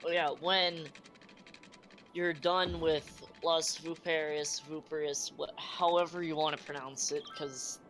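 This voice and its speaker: A speaker with a Midwestern accent chatting casually on a stream, hesitant and halting. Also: mid-range voice, flat delivery, a bit bright, faint music behind. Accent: Midwestern American. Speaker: stream co-host. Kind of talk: casual chat.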